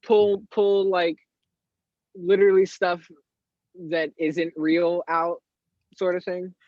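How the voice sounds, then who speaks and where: An eighteen-year-old man with a higher-pitched voice, a guest on a podcast, talking candidly and rambling with lots of fillers. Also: flat delivery, takes it slowly, dry recording, highs cut off. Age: teens. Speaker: guest artist. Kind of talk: casual interview chat.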